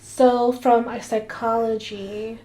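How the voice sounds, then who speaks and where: A young adult woman co-hosting a casual podcast, slightly hoarse after a cold, explaining things in measured steps. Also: a little high, level tone, dark tone, rough recording. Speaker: podcast co-host.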